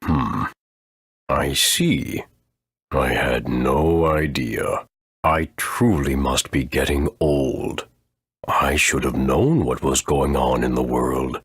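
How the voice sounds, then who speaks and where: Very deep, husky creature elder. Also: male voice, a bit bright, no room sound, heavily acted. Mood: weary, grave. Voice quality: husky, rumbling. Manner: slow, grave, formal. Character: aged, solemn male elder of a creature tribe. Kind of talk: video game voice acting.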